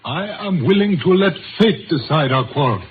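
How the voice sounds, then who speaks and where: An actor playing a dignified, fatalistic Chinese tong leader in a 1950s radio drama, with ceremonious, measured phrasing. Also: male voice, fairly high pitch, expressive, close to an everyday voice. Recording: scratchy, narrow-band old radio recording.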